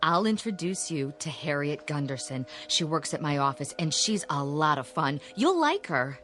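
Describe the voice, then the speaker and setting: Radio drama role, female actor: frank, pushy older-style sister, lowish voice, very expressive pitch, music behind. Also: moderate pace. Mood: coaxing, insistent. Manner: frank, insistent, emphatic. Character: blunt, pushy, worried sister of a shy man.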